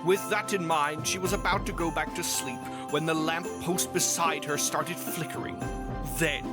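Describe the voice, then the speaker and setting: A narrator reads a scripted story in a voice of middling pitch. The delivery is that of prose read aloud. Music plays clearly behind the words. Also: steady tone, moderate pace.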